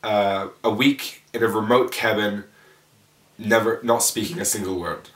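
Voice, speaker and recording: Young guy, calm and unhurried, sounds far from the mic in an echoey room. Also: on the low side. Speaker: student alumnus. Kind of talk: short testimonial snippets.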